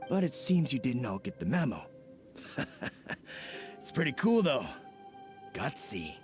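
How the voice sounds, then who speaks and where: An actor playing a young masked apprentice thief who is bumbling and folksy, speaking with a slangy drawl. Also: male voice, medium pitch, lightly acted. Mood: boastful. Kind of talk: video game voice acting.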